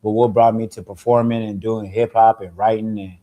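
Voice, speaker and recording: A man's voice: lowish voice, flat delivery, a little fast, warm tone, lo-fi sound. A young man, a rapper, telling his story in a laid-back, easygoing way during a casual interview. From Zimbabwe-born, raised in Seattle. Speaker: rapper guest.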